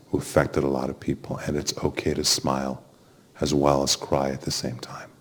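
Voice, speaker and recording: Low-voiced male rabbi at a funeral. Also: a little mellow. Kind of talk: funeral homily and prayer leading. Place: funeral chapel.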